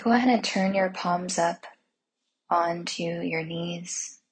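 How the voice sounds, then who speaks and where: Very breathy, slow woman guiding meditation. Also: fairly low pitch, low-quality recording. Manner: soothing, unhurried, gentle instruction with long pauses. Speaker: guided meditation host.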